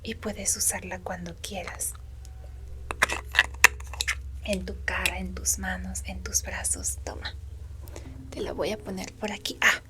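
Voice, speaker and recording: A woman voicing a gentle, comforting female woodland being in a breathy, soothing ASMR roleplay whisper. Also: mid-range voice, level tone. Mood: playful, caring. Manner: slow, tender, soothing, reassuring.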